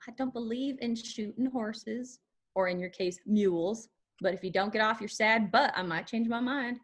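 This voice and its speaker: A woman's voice: fairly high pitch, normal speed, natural voice. A woman acting a defiant, caring daughter with a blunt, wry manner in a screenplay reading. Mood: wry, bossy.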